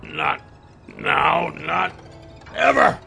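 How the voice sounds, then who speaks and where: A man's voice: on the high side, normal speed, bright, forceful tone, faint music behind, voice pushed up. A man voicing a righteous, defiant young fantasy hero who speaks with strain, through clenched teeth. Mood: strained defiance.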